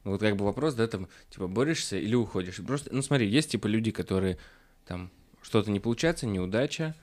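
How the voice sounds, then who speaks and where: Fairly low-voiced man explaining casually. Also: animated, quick pace, a little mellow. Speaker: podcast guest. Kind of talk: casual chat.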